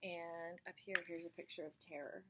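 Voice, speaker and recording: A woman reading a picture book aloud, gently pointing out the pictures as she goes. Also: fairly low pitch, steady tone, normal speed, very noisy. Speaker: storyteller.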